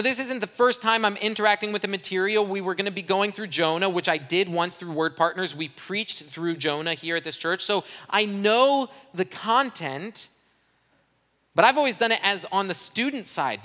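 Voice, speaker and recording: A young male pastor from Brazil tells his church about a mission trip with open, self-mocking candor. His voice sits high and rings bright and forceful. It carries a long reverb from a church hall. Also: normal speed. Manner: candid, self-deprecating storytelling. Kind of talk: church missions report talk.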